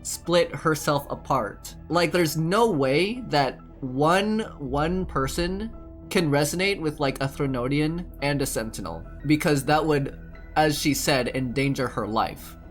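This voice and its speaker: A man's voice: on the high side, unhurried. A young man doing casual playthrough commentary, thinking aloud in informal, slangy speech. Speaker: gaming YouTuber playing and commenting. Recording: commentary over game music.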